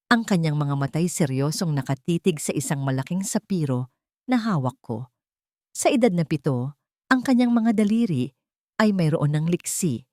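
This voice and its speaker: A woman's voice: low-pitched, dark tone, no echo, clean studio sound. A woman with a Filipino accent reading a scripted, dramatic first-person story as an audiobook narrator.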